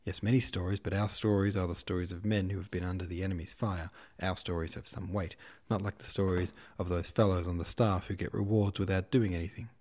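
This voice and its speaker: A man's voice: sits low, fairly even intonation, fairly quick, few pauses, darkish tone. A man reading the part of a hot-headed young hussar officer, defensive and bristling. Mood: angry.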